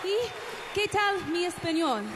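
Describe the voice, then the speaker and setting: A woman's voice: very high voice, takes it slowly, background noise. A woman guest singer on a big night stage, giving brief thank-yous into a handheld mic and switching between English and Spanish. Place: large open-air arena stage at night. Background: loud live band.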